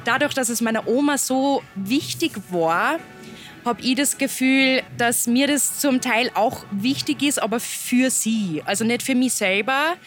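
A young woman from Upper Austria with an Upper Austrian accent, speaking as a musician guest in a relaxed interview, chatty and loose. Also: on the high side, unhurried, faint music behind. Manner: chatty, loose, laughs between thoughts.

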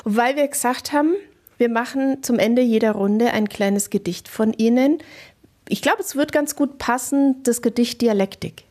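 A woman's voice: fairly high pitch, normal speed, mellow. A woman hosting a radio talk show, guiding the conversation with leading questions. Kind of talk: radio interview.

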